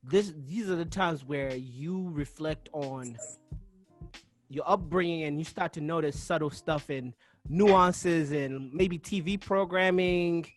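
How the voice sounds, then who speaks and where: Man raised in Uganda, podcast host, higher than usual register; reflective and halting, restarting his thoughts as he goes. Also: soft background music. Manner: thoughtful, searches for words, many restarts. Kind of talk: casual interview chat.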